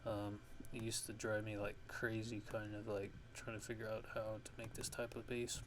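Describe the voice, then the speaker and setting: A man's voice: lowish voice, level tone, moderate pace, background noise. A man giving a casual ASMR-style music production tutorial, thinking aloud in a hesitant, rambling way.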